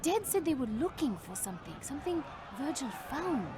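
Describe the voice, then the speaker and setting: A woman's voice: sits high, sing-song, a little fast. An actress playing a determined, anguished young woman in a video game audio drama, speaking earnestly and urgently. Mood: pensive. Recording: noisy, busy ambient sound behind.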